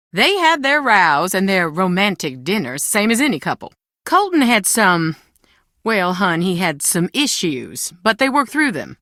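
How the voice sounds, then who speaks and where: Bright-voiced, proud Southern mother. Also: medium pitch, no echo, clean studio sound. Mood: guarded, worried. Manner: blunt, folksy, dramatic. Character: proud, weary, sharp-tongued Southern mother. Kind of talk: video game voice acting.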